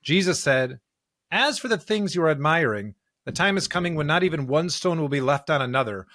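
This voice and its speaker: A man's voice: on the high side, expressive, brisk, a bit bright, no room sound, clean studio sound. An American man co-hosting a church podcast, chatting casually and reading scripture. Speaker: podcast co-host and pastor.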